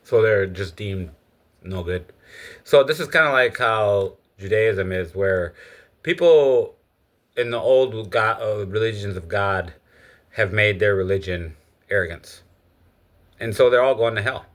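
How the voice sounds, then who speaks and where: A man's voice: on the low side, animated, measured pace, long pauses. A man from Egypt giving a casual, opinionated spoken monologue. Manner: opinionated, lecturing. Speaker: speaker giving his views.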